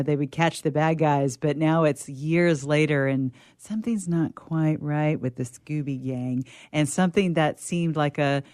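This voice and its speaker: A woman's voice: low voice, darkish tone, dry recording. A woman on a radio panel, chatting in an easygoing, conversational way. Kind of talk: casual book chat.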